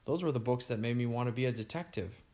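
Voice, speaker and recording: A man reading aloud, voicing a calm young princess who works as a detective. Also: mid-range voice, fast, natural voice. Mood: fond.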